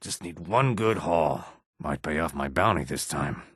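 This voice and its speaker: A man voicing a reptilian Argonian assassin and outlaw in a video game, with a rasping, husky voice. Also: extremely low pitch, lively intonation, measured pace, fairly bright tone, dry recording, clean studio sound, full character voice. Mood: muttering, grumbling. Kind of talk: video game character dialogue.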